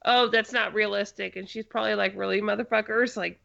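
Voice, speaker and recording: A woman's voice: mid-range voice, steady tone, a little fast, creaky, fairly bright tone. A woman co-hosting a casual movie discussion, blunt and argumentative, on slightly muffled audio. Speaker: podcast co-host.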